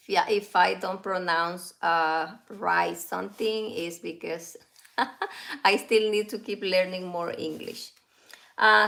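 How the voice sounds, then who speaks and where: A woman and mother whose first language is Spanish, hosting a casual live stream at home, talking slowly with many fillers and small laughs. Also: medium pitch, vocal fry, a bit bright. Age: adult, a mother. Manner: slow, halting, with frequent fillers and light laughs.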